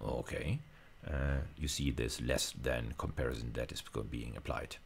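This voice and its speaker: A man with an extremely low-pitched voice lectures on technical material. He explains step by step, pausing and restating phrases as he goes. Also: fairly even intonation, a little hiss or hum. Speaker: university lecturer.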